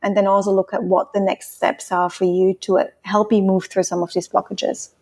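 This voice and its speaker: A woman from Switzerland, whose first language is Swiss German, talks through her work as a relationship coach in a friendly, flowing way. Her voice sits at a mid pitch, and she laughs readily. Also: little pitch movement, normal speed, darkish tone. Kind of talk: podcast interview answers.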